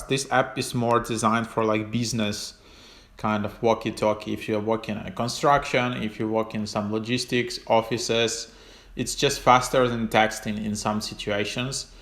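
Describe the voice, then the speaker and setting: A man giving a casual, unscripted app walkthrough as a tutorial host, in a hesitant, halting way with trailing phrases. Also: lowish voice, level tone, a bit bright.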